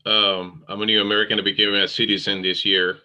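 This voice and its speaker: A man from Venezuela, speaking English in a slow, deliberate, solemn way. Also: on the low side, little pitch movement, pushed and bright, lo-fi sound. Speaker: participant. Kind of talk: earnest community statement.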